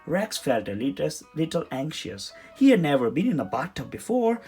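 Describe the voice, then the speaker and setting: A man reading a children's story aloud in an expressive, dramatic storytelling manner. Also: on the high side, moderate pace, breathy edge. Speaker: storybook reader. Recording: music behind the voice. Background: music.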